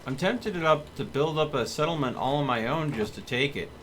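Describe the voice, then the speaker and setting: A man streaming a video game, thinking aloud in casual, muttered commentary as he deliberates. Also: fairly high pitch, animated, fairly bright tone. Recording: clear background noise from game ambience.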